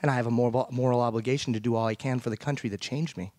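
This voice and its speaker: A middle-aged man delivers an emphatic, rhetorical keynote from a big theater stage. He speaks through a headset mic at a middle pitch, and his voice sounds close and dry. He keeps turning to the audience with questions. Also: steady tone, rapid-fire, darkish tone, no room sound. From Iran. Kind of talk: motivational keynote speech.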